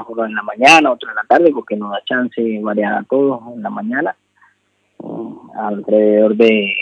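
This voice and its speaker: A man in his thirties with a Venezuelan accent, a polite radio guest who speaks haltingly and repeats himself, on dull phone-in audio. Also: on the low side, creaky, breathy edge, dry recording. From Maracaibo, Venezuela. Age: 30s. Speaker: jockey, interview guest. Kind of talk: conversational radio interview.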